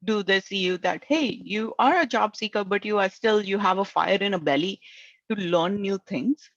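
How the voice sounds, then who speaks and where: A woman's voice: medium pitch, normal speed, dry recording, muffled recording. Indian-accented woman giving career advice. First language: Indian language. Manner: earnest, tags lines with "right?". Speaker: career coach. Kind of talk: advice talk.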